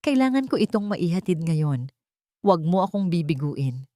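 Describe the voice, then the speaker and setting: A woman's voice: lowish voice, big pitch swings, normal speed, mellow, dry recording, studio quality. A woman voicing a cold, commanding young male CEO who gives orders in a curt, businesslike way.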